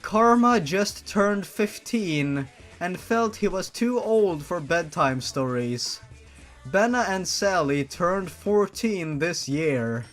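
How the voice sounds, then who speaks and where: A performer voicing a gentle aunt who tells a bedtime story, with slow, deliberate delivery, as in a dramatic reading of fan fiction. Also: mid-range voice, expressive, soft background music. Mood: fond, nostalgic.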